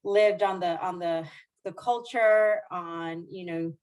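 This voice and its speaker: Woman, 40s, lowish voice, earnest podcast guest on a remote call, lots of restarts. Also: slow. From Dominican Republic. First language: Spanish. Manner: warm, earnest, frequent restarts and soft laughs. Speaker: guest, diversity executive. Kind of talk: podcast interview.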